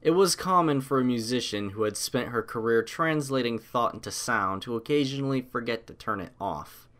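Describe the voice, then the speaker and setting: A man reading a story aloud as scripted audiobook narration, in a measured, literary storytelling manner. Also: medium pitch, fairly bright tone.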